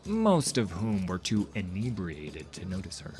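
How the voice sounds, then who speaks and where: A man's voice: sits low, wildly swooping pitch, unhurried, music in the background. A man narrating a fantasy story in a dramatic, scripted audiobook style.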